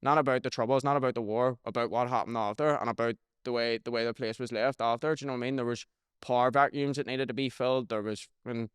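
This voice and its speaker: A man's voice: mid-range voice, fairly even intonation, fast talker, clear, resonant tone, no echo, studio quality. A young man with a Belfast accent chatting as a podcast guest, swearing freely and often checking "do you know what I mean". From Northern Ireland. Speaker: rapper guest. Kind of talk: casual podcast chat.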